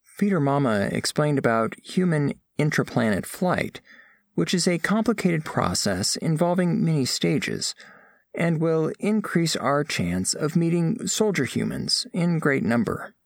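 An earnest alien scholar narrates an audio drama with great formality. The voice swings widely in pitch and carries a gravelly creak. It is recorded close and dry, and every phrase is precise and literal. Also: male voice, big pitch swings, a little slow, no room sound, exaggerated voice. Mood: formal, explanatory. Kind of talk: scripted first-person narration.

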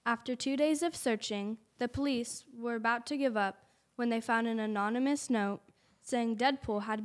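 A female narrator reading a scripted story for an amateur youth radio play. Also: a little high.

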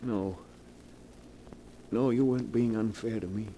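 An actor playing an anguished, bewildered husband in 1940s radio melodrama, pleading in a halting, agitated way. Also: male voice, lowish voice, steady tone, warm tone, low-quality recording. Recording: vintage 1940s radio broadcast.

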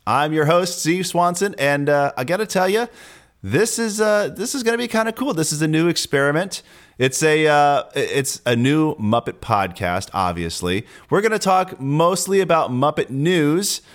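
A man's voice: a little high, a bit bright. A man hosting a casual podcast, chatty and rambling with fillers and restarts. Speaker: podcast host.

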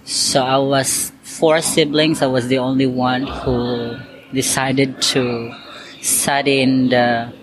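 Guy chatting slow and easy, lots of like and you know, steady middle pitch. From Philippines, Cebu. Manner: chatty, loose phrasing with many "like" and "you know" fillers. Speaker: interviewee, accountant and Zumba instructor. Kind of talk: casual interview answers. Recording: noisy background hiss.

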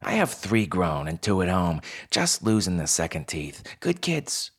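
A man's voice: deep voice, sing-song, unhurried, slightly rough, full character voice. A man voicing a bossy female fox store manager in a story, with a barking, clipped, blunt way of talking. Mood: warm pride.

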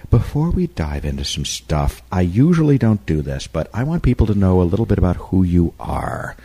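A man hosting a talk show with jokey, animated banter and conversational interviewing. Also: sits low, moderate pace, breathy edge. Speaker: radio talk show host.

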